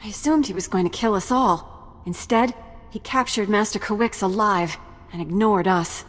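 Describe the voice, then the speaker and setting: An actress playing an earnest research facility survivor in a video game, explaining things with concern. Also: female voice, mid-range voice, moderate pace, natural voice. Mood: relieved, earnest. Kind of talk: video game cutscene acting. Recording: long hall reverb, music behind.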